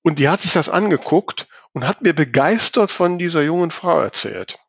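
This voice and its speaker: A man's voice: on the high side, lively intonation, normal speed, gravelly, husky, dry recording. A man hosting a casual podcast conversation, often hesitating and searching for his words. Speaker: podcast host.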